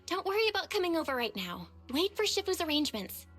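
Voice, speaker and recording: A woman's voice: very high voice, very animated, quick pace, a bit bright, faint music behind, heavily acted. An actress playing an earnest, level-headed young female investigator in a video game, casual and thoughtful. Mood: brisk, friendly.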